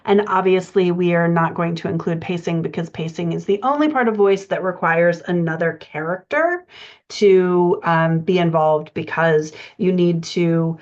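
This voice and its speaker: A woman coaching writers in an explanatory lecture style, speaking haltingly with pauses as she thinks through her points. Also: fairly low pitch. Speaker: writing coach presenting a podcast lesson. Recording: dull, band-limited audio.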